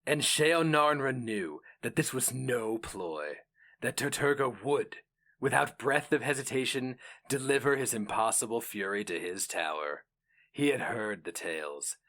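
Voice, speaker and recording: A man giving a solemn, theatrical and deliberate dramatic reading of a fantasy story. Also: medium pitch, a bit bright. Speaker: narrator.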